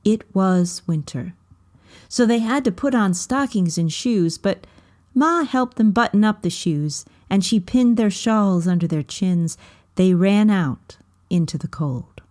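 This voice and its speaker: A woman reading a children's novel aloud as storybook narration, with measured, deliberate phrasing. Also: mid-range voice, animated, darkish tone.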